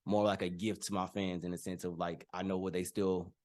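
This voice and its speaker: Young male guest artist, low-pitched, easygoing and candid. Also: fairly quick. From Jamaican heritage. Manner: relaxed, candid, trails off softly. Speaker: guest rapper. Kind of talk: casual interview answers.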